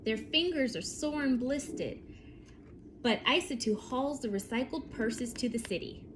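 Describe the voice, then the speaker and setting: A woman reads a children's story aloud in a fairly high, very lively voice. She takes her time, leaving dramatic pauses and speaking directly to her young listeners. There is faint noise behind her. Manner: deliberate phrasing with dramatic pauses, addresses the listener. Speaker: picture book reader for children.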